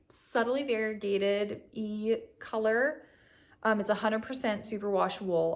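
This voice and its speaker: A young woman, slightly hoarse from a cough, chatting casually at home about her knitting, rambling with lots of fillers and little laughs. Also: medium pitch. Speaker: knitting vlogger. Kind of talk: casual chat. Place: at home on a couch.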